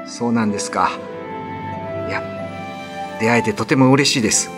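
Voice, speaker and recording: A man's voice: medium pitch, normal speed, over music, lightly acted. A man playing an earnest, polite young villager in love, in a radio drama. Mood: polite, curious.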